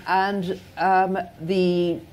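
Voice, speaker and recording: A woman chairing a festival panel discussion, talking in a halting, wry way with many pauses and restarts. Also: fairly low pitch, flat delivery, darkish tone, a little hiss or hum. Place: festival stage.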